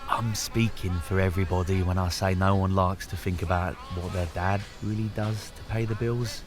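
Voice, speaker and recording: An actor playing a polite, reserved young boyfriend in an audio drama, dry and deferential in manner. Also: male voice, low voice, steady tone, normal speed, lightly acted. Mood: guarded, frank. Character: polite, guarded young boyfriend meeting girlfriend's father.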